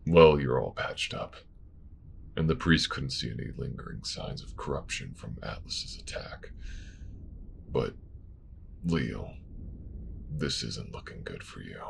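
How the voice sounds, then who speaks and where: A man playing a stern, world-weary religious official in a fantasy audio drama, gravelly, measured and formal. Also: extremely low pitch, lively intonation, slight background noise, exaggerated voice.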